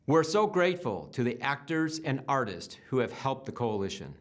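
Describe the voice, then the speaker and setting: A male host with a lively, varied voice. He thanks his guests briefly and narrates between interviews. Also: mid-range voice, normal speed. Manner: brief, affirming replies.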